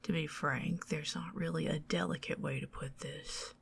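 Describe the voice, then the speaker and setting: A woman's voice: low-pitched, steady tone, moderate pace, gravelly, a little hiss or hum. A woman playing a whispering, possessive goblin queen. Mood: coaxing whisper. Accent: Southern US. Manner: soft teasing whisper. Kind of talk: ASMR roleplay.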